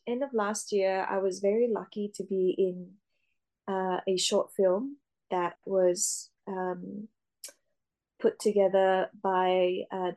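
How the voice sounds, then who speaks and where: A young woman speaks in a mellow, relaxed voice as she answers interview questions about her acting work. She pauses often with fillers and restarts her sentences. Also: mid-range voice. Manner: hesitant, many fillers and restarts. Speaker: actor, interviewee. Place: Australia.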